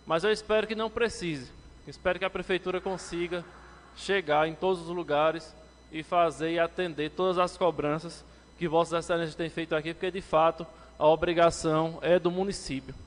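A man in his thirties, from Brazil, delivers a formal floor speech to a city council, addressing the chair. His voice sits a bit high and climbs further when he turns critical. The lectern mic picks up the long ringing reverb of the council chamber. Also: fairly even intonation, brisk. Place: council chamber, indoors, medium-sized. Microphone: lectern microphone, standing.

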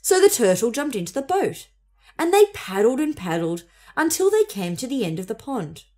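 A woman's voice: on the high side, sing-song, normal speed, darkish tone. A young woman narrating a children's story expressively as a storybook reader.

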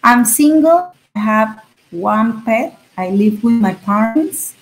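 Woman in her 30s, slow halting english, talking over a laptop call. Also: fairly high pitch, expressive, rough recording. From Peru. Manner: hesitant, halting, with shy laughs. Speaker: student. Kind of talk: practicing English conversation. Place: small room indoors. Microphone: laptop webcam on video call.

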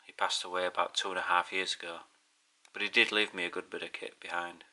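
A man's voice: low-pitched, little pitch movement, fast talker, pushed and bright, low-quality recording. A middle-aged man with a Yorkshire accent giving a plain-spoken, slightly hesitant casual vlog narration at home. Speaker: video host. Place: indoors in a home living room.

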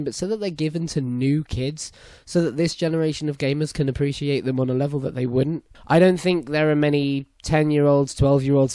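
A young British man with a croaky voice hosting a solo gaming news podcast, chatty and opinionated. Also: fairly high pitch, warm tone, no room sound. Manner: chatty, opinionated, apologetic asides. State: hoarse, croaky voice after a concert. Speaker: podcast host.

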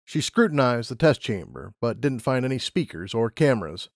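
A man's voice: medium pitch, very animated, moderate pace, creaky, no echo, clean studio sound. A man narrating a scripted story as an audiobook narrator.

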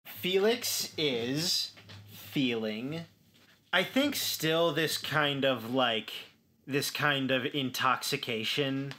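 Guy playing a tabletop rpg, sharp bright voice, slow n halting, repeats himself figuring out what his character does. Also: mid-range voice, animated, slight background noise. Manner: halting, searching, repeating words. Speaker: player in a tabletop role-playing game. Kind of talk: improvised description of a character in actual play.

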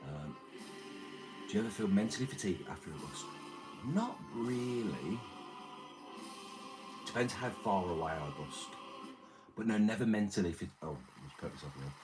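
A man in his thirties with a very deep, slightly damaged voice, chatting casually and crudely while reading his livestream chat in a small room. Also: lively intonation, moderate pace, pauses often, over music, low-quality recording. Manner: rambling, crude, jokey. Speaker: livestream host. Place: small room with red curtain and red light.

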